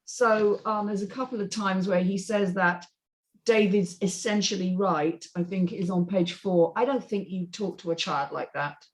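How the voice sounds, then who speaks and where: A woman's voice: medium pitch, steady tone, moderate pace, poor audio. Opinionated woman giving blunt critique. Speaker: script reading panelist. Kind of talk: unscripted critique in a panel discussion.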